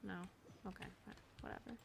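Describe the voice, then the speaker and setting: A woman's voice: a little fast, noisy background. A woman giving casual gameplay commentary, muttering to herself and exclaiming in exasperation as she plays. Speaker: gamer recording a playthrough.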